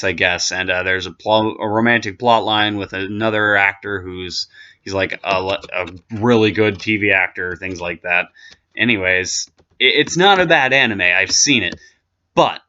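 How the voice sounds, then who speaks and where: A man's voice: lowish voice, sharp tone, muffled recording. A man hosting an anime commentary video, giving his opinion in a rambling, casual way full of restarts and asides.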